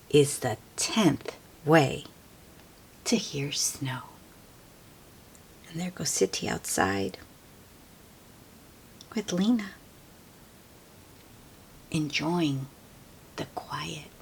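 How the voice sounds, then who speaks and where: A woman reading a picture book aloud to children as host, expressive and often hushed, with friendly asides to young listeners. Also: fairly low pitch, slight background noise.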